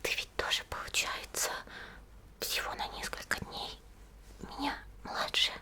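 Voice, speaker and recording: A woman voicing a gentle young monster schoolgirl in a soft, breathy, slow ASMR roleplay whisper, close to the microphone. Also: natural voice. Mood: caring, musing. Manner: slow, soothing, hesitant musing with short pauses.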